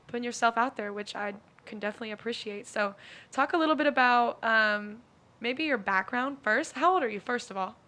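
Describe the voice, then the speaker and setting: A woman's voice: a little high, fairly quick, sharp tone. A young woman hosting a podcast, chatting casually and enthusiastically with lots of fillers. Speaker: podcast host. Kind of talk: casual interview chat.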